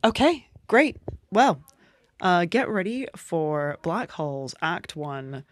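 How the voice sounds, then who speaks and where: A woman's voice: fairly low pitch, very animated, takes it slowly, no room sound. A woman co-hosting a podcast with casual, chatty delivery. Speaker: podcast co-host.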